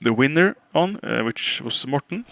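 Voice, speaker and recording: A man's voice: medium pitch, lively intonation, moderate pace, gravelly, a bit bright, dry recording. A man from Norway with a Norwegian accent, a native Norwegian speaker hosting a casual podcast in English, hesitantly searching for words. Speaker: podcast host.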